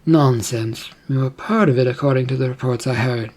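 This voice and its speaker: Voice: mid-range voice, moderate pace, a little mellow, close to an everyday voice. A narrator voicing a stern, imperious storybook queen with a commanding, questioning manner. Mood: stern, probing. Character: stern, probing fantasy queen, imperious wife.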